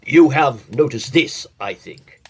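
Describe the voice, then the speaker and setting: A male actor voices the stern master of a lonely house in a gothic audio drama. His speech is formal and imperious, mid-pitched and husky, with wide, expressive intonation. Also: slow, exaggerated voice. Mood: stiffly courteous. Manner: formal, imperious, stiffly courteous. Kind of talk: period audio drama acting.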